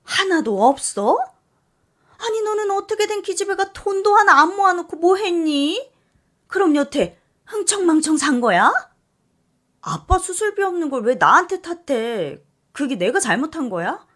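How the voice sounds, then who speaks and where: A woman voicing a selfish middle-aged mother who scolds her daughter in a high, loud, reproachful tone. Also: big pitch swings, moderate pace, full character voice. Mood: scolding.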